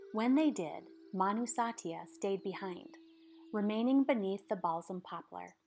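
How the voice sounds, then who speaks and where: A woman reading a children's picture book aloud in a measured, dramatic storytelling manner. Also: medium pitch, a little mellow. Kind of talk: expressive scripted storybook narration.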